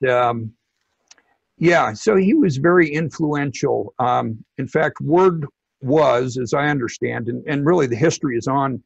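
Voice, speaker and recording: Slow, gravelly middle-aged man, halting. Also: medium pitch, no echo, highs cut off. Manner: halting, hesitant delivery with many pauses. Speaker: loudspeaker company technical director, interview guest. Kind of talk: informal interview explanation.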